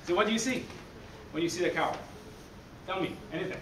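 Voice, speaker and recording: French-Canadian coach lecturing in an echoey hall. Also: male voice, high-pitched, brisk, recorded from a distance. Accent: Québécois French. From Quebec City, Canada. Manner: energetic, chatty, often adds "okay?". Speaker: baseball coach giving a conference talk. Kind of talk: conference presentation. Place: dark conference hall, speaking in front of a screen. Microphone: room recording from a far camera. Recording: echoey hall, heard from afar, noisy.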